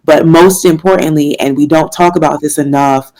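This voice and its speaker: A woman's voice: sits low, steady tone, moderate pace, a little mellow, poor audio. A young woman from Washington, D.C., a jazz singer answering interview questions in an earnest, faith-filled way, with fillers and laughs. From Washington, D.C., United States. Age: young adult.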